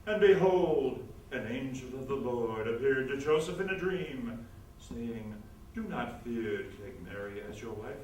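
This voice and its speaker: A man's voice: medium pitch, animated, unhurried, a little mellow, natural voice. A man playing a pompous, melodramatic, volatile theater producer in a staged screwball comedy reading. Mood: solemn reading. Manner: grandiose, theatrical. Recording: distant, echoey stage recording, faint noise.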